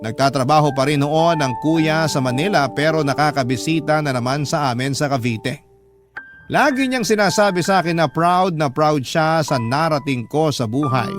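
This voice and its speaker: A man's voice: medium pitch, normal speed, over music. A man reading a scripted first-person love story as a radio narrator.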